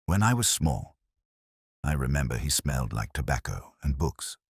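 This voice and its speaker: A man's voice: extremely low pitch, big pitch swings, moderate pace, husky, no room sound, clean studio sound, heavily acted. A man voicing a guarded, troubled young woman. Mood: wistful, afraid. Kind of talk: audiobook character dialogue.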